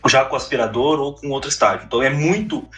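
Bright-voiced man recounting matches. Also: medium pitch, fast, faint music behind. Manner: relaxed storytelling with slang and frequent restarts. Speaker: podcast co-host, competitive card player. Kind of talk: casual podcast chat.

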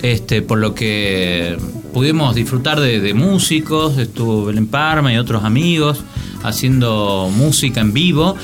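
A man's voice: mid-range voice, lively intonation, a little slow. Argentine man co-hosting over music. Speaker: radio show co-host. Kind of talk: casual radio banter. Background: music playing behind the voice.

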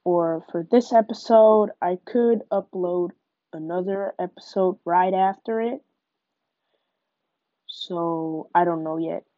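A woman's voice: fairly low pitch, slow, dry recording. Young woman, stuffy nose from a cold, gameplay commentary, dry muffled home recording. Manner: chatty, narrates each move. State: nasal from a cold. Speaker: gaming podcaster.

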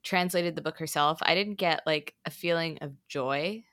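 A woman co-hosting a book podcast, talking in a measured, hedging way with frequent fillers. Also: low voice, a bit bright, dry recording, clean studio sound. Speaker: podcast co-host. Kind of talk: casual book discussion.

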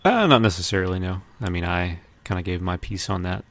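A man's voice: low-pitched, lively intonation, brisk, a bit bright. A man co-hosting a podcast, talking casually about pop culture. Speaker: podcast co-host.

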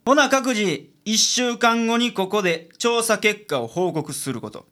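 A man acting in a radio drama as a gruff, money-minded private detective with a Kansai accent, blunt and shrewd. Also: high voice, expressive, a little slow, sharp tone, exaggerated voice. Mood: blunt, brusque. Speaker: amateur radio drama actor.

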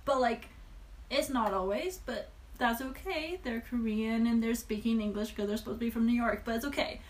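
A woman's voice: a little high, normal speed. A woman hosting a casual podcast, rambling expressively and acting out her own reactions. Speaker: podcast host. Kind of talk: casual chat.

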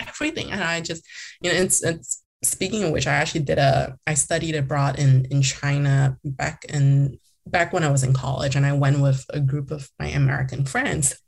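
Low husky young woman, chatty. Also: normal speed. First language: Mandarin. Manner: chatty, many restarts and "like" fillers. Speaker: podcast guest, former mayor. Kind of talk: casual interview conversation.

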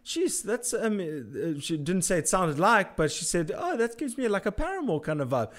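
Man, podcast host, slightly high voice, loose rambling jokey talk. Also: sing-song, moderate pace, a bit bright. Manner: joking, rambling, informal. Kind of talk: casual interview chat.

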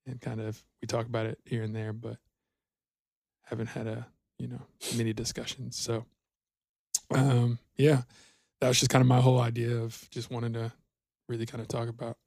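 Young dude talking real flat and monotone, lots of ums, dry mic. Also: male voice, medium pitch, vocal fry, no echo. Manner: halting, lots of fillers, flat and earnest. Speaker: podcast co-host. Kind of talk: casual chat.

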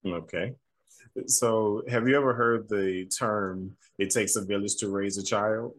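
A man's voice: fairly low pitch, fairly even intonation, a little slow, a bit bright. A man interviewing a guest, asking questions in a friendly, affirming way with frequent "mm-hmm" and "okay".

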